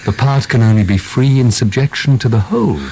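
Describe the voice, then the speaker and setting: An actor playing a calm, needling male philosopher, measured and lecturing, in a radio drama. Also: medium pitch, creaky, slight background noise, natural voice. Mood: needling, reasoning.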